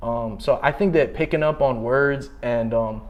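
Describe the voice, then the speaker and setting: A man's voice: medium pitch, moderate pace, lo-fi sound. A young man in his twenties from Canada chatting casually and slangily as an interview guest, in loose bursts. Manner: slangy, talks in loose bursts with frequent "like" and "you know". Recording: steady background noise.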